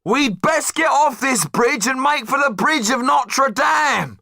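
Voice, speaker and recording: Guy doing a big fierce man yelling urgently, voice pushed way up, audiobook style. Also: extremely high pitch, lively intonation, slow, vocal fry, dry recording, studio quality, voice pushed up, exaggerated voice. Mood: urgent shouting. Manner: shouting, urgent. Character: huge, fierce, urgent man shouting in a crowd.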